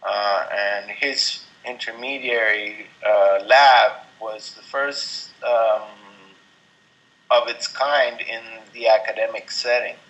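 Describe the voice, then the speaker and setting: A middle-aged man from Venezuela answers interview questions at an unhurried pace, his voice sitting a little low. He pauses often, filling gaps with ums and restarting his sentences as he thinks aloud. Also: steady tone, a bit bright, rough recording. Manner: slow, hesitant, many ums and restarts. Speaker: interview guest, artist and composer. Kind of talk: casual interview talk.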